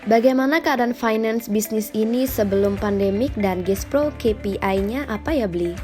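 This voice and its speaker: A woman's voice: a little high, moderate pace, darkish tone, faint music behind. A woman hosting an interview show with casual scripted introductions and questions.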